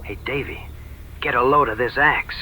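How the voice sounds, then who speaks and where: An actor playing a folksy, inquisitive frontier hunting companion in an audio western. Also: male voice, mid-range voice, fairly even intonation, normal speed, bright, forceful tone, a little hiss or hum, rough recording. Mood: curious. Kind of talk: dramatized audio western dialogue.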